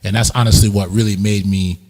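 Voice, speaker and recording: Husky fast-talking man from Tobago. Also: fairly low pitch. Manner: relaxed, laid-back storytelling with frequent fillers. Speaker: sound system selector. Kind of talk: casual interview chat.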